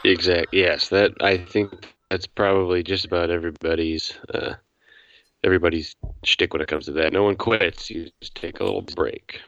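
A man's voice: sits low, fairly even intonation, moderate pace, creaky, fairly bright tone, no echo. A man interviewing someone in a casual, chatty style on a lo-fi recording. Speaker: podcast interviewer. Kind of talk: casual chat. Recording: dull, lo-fi but dry sound.

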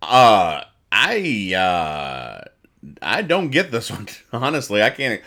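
A man hosting a casual comedy podcast, describing comic strips aloud and explaining their jokes in a rambling, halting, mock-serious way. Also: on the low side, huge pitch swings, vocal fry, sharp tone. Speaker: podcast host reading newspaper comics.

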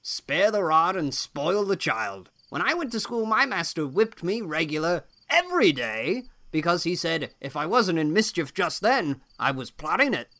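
A woman voicing a blunt, teasing old farmer man who drawls in a gruff, rustic way. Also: on the low side, very animated, normal speed, few pauses, a bit bright.

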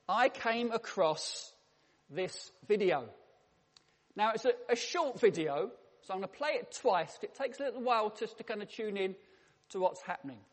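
Man, preacher, slow deliberate delivery, humorous storytelling, echoing church hall. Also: high-pitched. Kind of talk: sermon. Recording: long reverb in a church hall.

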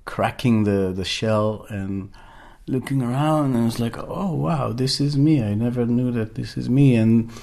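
A man's voice: medium pitch, unhurried, gravelly, dark tone. A middle-aged man from Israel, a workshop facilitator, speaking earnestly in an interview and searching for words as he goes. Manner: earnest, searching for words, many fillers and restarts. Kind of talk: interview conversation.

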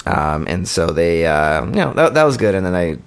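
A man, co-hosting a podcast, telling a long, halting story in casual chat. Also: low-pitched, very animated, clean tone. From born in Poland, raised in Canada. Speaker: podcast co-host.

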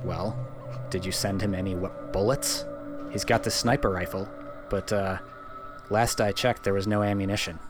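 A man playing an audio role-playing game, improvising in character in a halting way. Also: fairly low pitch, fairly even intonation, over music.

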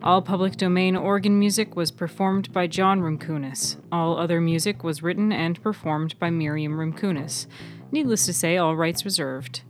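A woman's voice: on the low side, normal speed, faint music behind. A woman reading scripted narration as the narrator of a fiction podcast.

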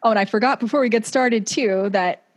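A woman's voice: fairly high pitch, a little fast. Woman hosting a podcast. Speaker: podcast host. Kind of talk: conversational podcast interviewing. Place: remote video call over Zoom.

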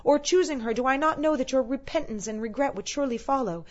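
A woman voicing a gentle, sorrowful young woman in a storybook, soft, measured and quietly resolute. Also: high-pitched, highs cut off, voice pushed up, close to an everyday voice. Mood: sad, resolute.

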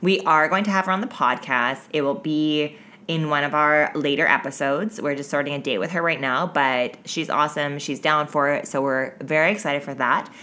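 A woman's voice: deep voice, moderate pace, sharp tone, a little hiss or hum. A woman co-hosting a podcast in casual chat, with a teasing, playful way of talking. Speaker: podcast co-host.

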